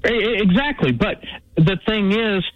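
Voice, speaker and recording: A man in his sixties calling into a radio show, opinionated and halting, with many restarts. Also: sits high. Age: 60s. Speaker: caller. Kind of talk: call-in radio. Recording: dull dry phone-line call.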